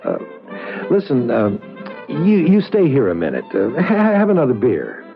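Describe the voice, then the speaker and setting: A man's voice: medium pitch, huge pitch swings, moderate pace, creaky, faint music behind. Old muffled radio play: earnest, stammering, good-hearted man, very swooping delivery. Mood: flustered. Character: kindly, earnest, flustered man telling what he saw. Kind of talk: radio drama acting.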